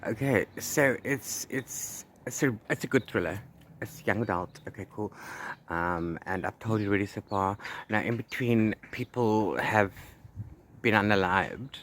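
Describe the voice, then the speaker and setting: A man's voice: fairly low pitch, unhurried, a bit bright. A man chatting casually as a book vlogger, rambling and repeating words and phrases for emphasis. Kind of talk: casual chat to camera about reading. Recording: clear background noise.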